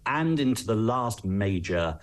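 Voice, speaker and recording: An English man speaking as an expert guest in a news interview, measured, articulate and earnest in explaining his points. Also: fairly low pitch, big pitch swings.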